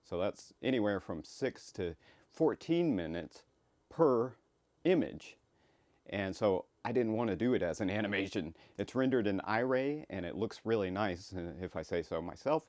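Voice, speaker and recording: A man introducing his own work in casual, rambling commentary, with a halting delivery. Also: on the low side, a little mellow, dry recording. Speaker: creator introducing his comic.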